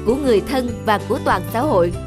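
A man voicing a calm, kindly schoolteacher in a children's cartoon, speaking in a measured, instructive way. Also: very high-pitched, music in the background.

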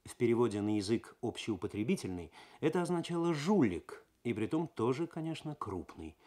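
A man's voice: mid-range voice, a little fast. A man reading a detective story expressively, in the dramatic literary style of 1970s Soviet radio. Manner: expressive, actorly, suspenseful. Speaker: narrator, reader.

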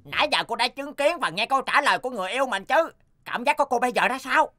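A woman's voice: on the high side, lively intonation, fast, pushed and bright, no echo, soft background music. A woman voicing an upbeat male game show host in a comedy cartoon, formal and showy. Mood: courteous. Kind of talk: animated comedy cartoon dub.

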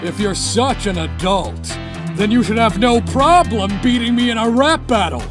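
A man's voice: high-pitched, animated, normal speed. A man voicing a haughty, goading father in a game cutscene, theatrical and condescending, with guitar music behind. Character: haughty wealthy father, retired singer, scornful and goading. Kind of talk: voice acting in animated game cutscene.

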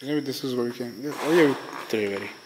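A man's voice: medium pitch, rapid-fire. A man hosting an exploration vlog, talking casually and a bit hesitantly to viewers and his companion as he goes. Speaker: host of a ghost-hunting urban exploration vlog. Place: inside a large abandoned building. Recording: echoey, noisy handheld camera audio.

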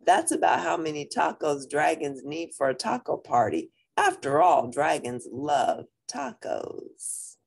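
A woman with a husky voice reading a picture book aloud to young listeners in an animated, deliberate manner, with brief asides. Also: low voice. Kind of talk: expressive children's read-aloud with brief asides.

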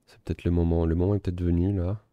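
A man giving relaxed, self-mocking commentary while playing a strategy game, thinking aloud. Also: low voice, quick pace, no echo, lo-fi sound. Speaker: gaming video host. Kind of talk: casual let's-play commentary.

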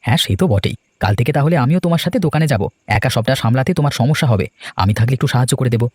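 A man's voice: medium pitch, expressive, racing, a little mellow, husky, dry recording, studio quality. A man voicing a supportive, plain-spoken village husband in a cartoon, chatty and encouraging. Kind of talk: cartoon dubbing.

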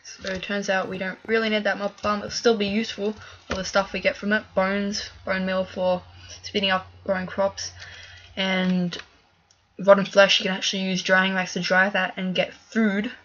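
A woman giving casual let's-play commentary as she plays, chatty and thinking aloud with fillers, a little hoarse from a cough. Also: mid-range voice, level tone, moderate pace, fairly bright tone, highs cut off. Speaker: gaming video creator.

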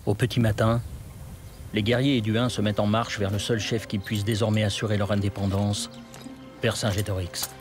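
A man giving scripted dramatic historical narration in a measured, grave storytelling manner. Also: lowish voice, flat delivery. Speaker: documentary narrator. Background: loud music.

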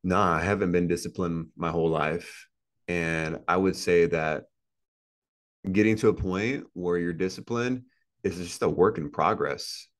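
A man's voice: low voice, a little slow. A man in his thirties, a podcast guest on a video call, talking earnestly and motivationally with lots of repetition. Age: 30s. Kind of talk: casual interview talk. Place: indoor room with a plant and a couch.

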